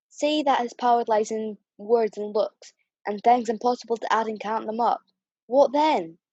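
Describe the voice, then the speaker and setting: Woman as wistful old man, soft husky voice, emotional, muffled dry recording, radio drama. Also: on the high side, no echo. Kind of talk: radio play acting.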